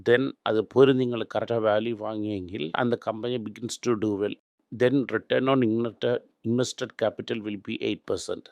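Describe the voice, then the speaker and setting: A man's voice: fairly low pitch, darkish tone, dry recording. A man giving unscripted investment advice in a blunt, instructive way, often switching into English phrases and asking rhetorical questions. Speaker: financial expert answering audience questions.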